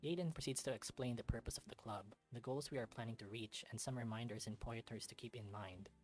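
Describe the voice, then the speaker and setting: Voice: mid-range voice, a little fast. A person reading visual novel narration aloud as a let's-read. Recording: music under the voice. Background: game music.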